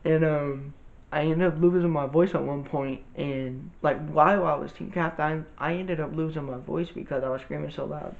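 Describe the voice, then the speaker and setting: A man's voice: fairly high pitch, steady tone, moderate pace, creaky, narrow, tinny audio. A young man telling a casual storytime monologue to his viewers, rambling and informal, full of restarts and fillers. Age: young adult. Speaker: vlogger.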